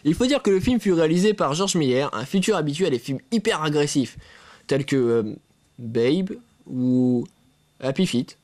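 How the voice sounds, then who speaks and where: A man's voice: fairly high pitch, expressive, moderate pace, darkish tone, slight background noise. A man hosting a film review show, reading scripted narration with casual, joking asides.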